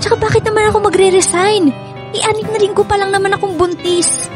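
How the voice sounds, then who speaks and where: An actress playing a gentle, reassuring young pregnant wife in a conversational, coaxing radio drama manner. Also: female voice, extremely high pitch, steady tone, quick pace. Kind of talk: Filipino radio drama dialogue. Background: faint music.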